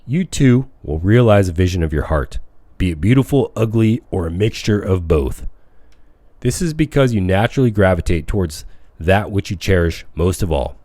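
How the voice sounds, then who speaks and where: Deep voiced guy reading a self help audiobook, measured kinda declamatory delivery, dry close sound. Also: animated, dark tone, dry recording. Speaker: audiobook reader. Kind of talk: scripted narration of a self-help book.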